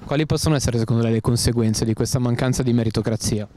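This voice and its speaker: A man's voice: medium pitch, steady tone, fast, mellow. A young man interviewing passers-by on a city street with a handheld mic, formally reading a news summary and asking follow-up questions. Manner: formal, reads news summary then asks follow-ups. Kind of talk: scripted intro then street questions.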